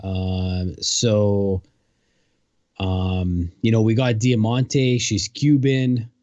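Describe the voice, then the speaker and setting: Male co-host, very deep, slow and halting, muffled dry mic. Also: flat delivery, darkish tone, no room sound. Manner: slow, hesitant, searching for words. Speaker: podcast co-host. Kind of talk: casual chat.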